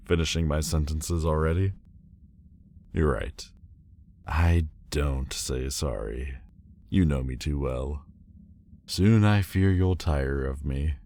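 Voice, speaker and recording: A man playing a proud, wounded demon lord in an audio roleplay, guarded but vulnerable, with halting, stiffly formal, wry delivery. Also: rumbling low, mellow, a little hiss or hum. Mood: wry, softened. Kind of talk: intimate audio roleplay acting. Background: ambient sound effects.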